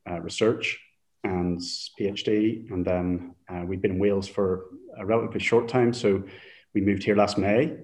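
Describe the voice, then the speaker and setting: Low-voiced man. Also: fairly even intonation, darkish tone. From Northern Ireland. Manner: thoughtful, halting with fillers and restarts. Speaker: Hebrew lecturer, guest. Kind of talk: casual interview talk.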